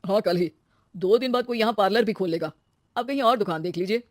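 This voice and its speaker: A woman's voice: medium pitch, a little fast, dry recording, clean studio sound, close to an everyday voice. A woman voicing a blunt, dismissive male shop landlord in a dramatized story.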